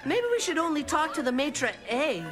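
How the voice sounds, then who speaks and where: A woman's voice: sits high, big pitch swings, moderate pace, music in the background. A woman voicing a cocky, optimistic young boy with big schemes in a children's cartoon. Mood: reassuring, boastful.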